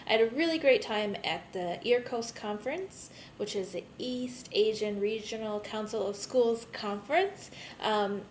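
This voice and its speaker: Bit tired lady instructor chatting to her online class, rambly and informal, faint rumble behind her. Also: a little mellow. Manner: rambling, conversational. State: a bit tired, jet-lagged. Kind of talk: informal recorded video message to students. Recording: rumbly background noise.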